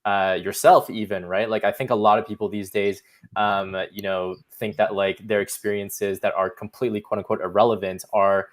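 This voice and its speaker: A man with a fairly low voice talks casually on a panel. He is informal and halting, peppering his advice with filler words. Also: little pitch movement. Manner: informal, halting, heavy use of "like". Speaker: panelist, product designer.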